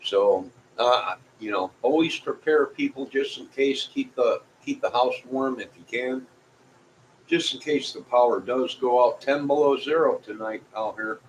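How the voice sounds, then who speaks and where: A man's voice: mid-range voice, measured pace, rough recording. A middle-aged or older man chatting casually to viewers in a folksy, rambling way, often repeating himself. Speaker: vlogger. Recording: clear background noise.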